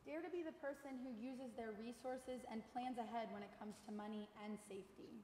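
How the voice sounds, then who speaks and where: A woman's voice: on the high side, brisk, warm tone. A woman presenter delivering a scripted address from a stage. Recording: echoey hall, faint noise.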